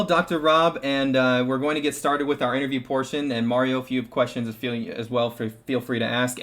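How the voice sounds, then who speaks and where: A young man hosting a casual live-stream talk show. He is upbeat and chatty and leans on "uh" and "you know" as he introduces guests and asks questions. His voice sits at an ordinary middle pitch. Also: fairly bright tone. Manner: upbeat, chatty, frequent fillers. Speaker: stream host.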